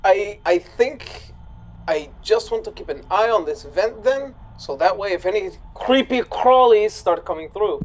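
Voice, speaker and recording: A woman playing a tabletop game, talking casually and haltingly, often repeating words. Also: medium pitch, expressive.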